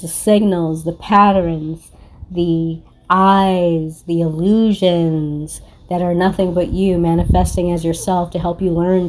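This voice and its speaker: A woman's voice: fairly low pitch, a little mellow. A woman giving a casual spiritual card reading in a blunt, confrontational manner, swearing freely. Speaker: tarot card reader and spiritual content creator.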